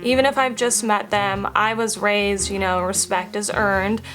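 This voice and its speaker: A woman's voice: mid-range voice, steady tone, a bit bright. A woman in her thirties talking frankly and chattily to camera, answering what fans assume about her. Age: 30s. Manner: frank and chatty, with quick restarts. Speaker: vlogger answering fan assumptions. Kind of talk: casual talk to camera.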